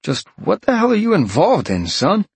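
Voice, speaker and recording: Man playing raised-pitch, paternal police detective. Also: lively intonation, moderate pace, husky, no room sound. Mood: stern. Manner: matter-of-fact, official. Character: male police detective, concerned, matter-of-fact, paternal.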